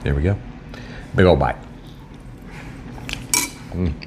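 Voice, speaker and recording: Deep-voiced Southern man, laid-back. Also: takes it slowly, warm tone, a little hiss or hum. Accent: Southern American. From American South. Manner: laid-back, rambling, self-deprecating. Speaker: food reviewer. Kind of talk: casual solo video review.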